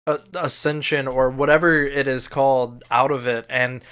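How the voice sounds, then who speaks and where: A man's voice: mid-range voice, steady tone, normal speed, fairly bright tone, clean tone. A man co-hosting a podcast in casual, conversational talk, arguing his points. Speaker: podcast co-host. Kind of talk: casual chat.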